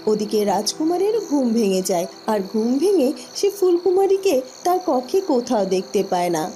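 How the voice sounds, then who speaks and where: Female cartoon storyteller with mellow tone. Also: high-pitched, sing-song, normal speed. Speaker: narrator. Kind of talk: scripted cartoon story narration. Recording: music behind the voice.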